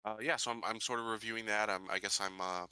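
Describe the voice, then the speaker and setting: A man with a fairly low but very bright, hard-edged voice improvises dialogue in a tabletop game. His phrasing stops and starts hesitantly. The sound is very dry. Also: steady tone, fast, clean tone, dry recording. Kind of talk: casual in-character improvised dialogue.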